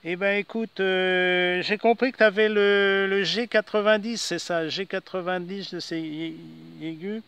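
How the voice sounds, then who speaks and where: A man from France talks casually over amateur radio in a high, clear voice. He is chatty, peppering his talk with small tag words and sign-offs in the manner of a radio hobbyist. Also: fairly even intonation, measured pace, hardly any pauses, a bit bright, no room sound. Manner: chatty, frequent "hein" tags. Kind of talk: casual ham radio conversation. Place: outdoors.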